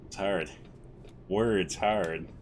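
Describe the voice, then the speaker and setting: A man calmly talking through a driving game as a streamer, with a mellow, fairly monotone voice and a rambling, self-correcting way of speaking. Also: deep voice, drawn-out words, a little hiss or hum. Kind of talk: casual gameplay commentary.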